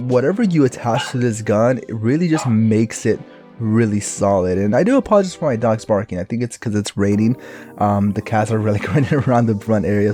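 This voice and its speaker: A man with a raspy, recovering voice, giving casual gameplay commentary for his own video in a rambling, laid-back way with lots of fillers. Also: on the low side, warm tone. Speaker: gaming YouTuber.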